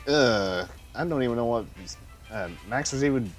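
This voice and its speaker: A man streaming a video game, chatting casually with relaxed, chuckling asides. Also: mid-range voice, moderate pace. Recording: game music behind.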